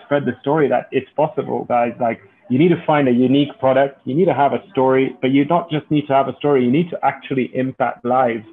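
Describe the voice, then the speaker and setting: Man from Kenya on a thin phone-like line. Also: medium pitch. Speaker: entrepreneur, interview guest. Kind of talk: conversational interview answers. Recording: thin phone-like call audio.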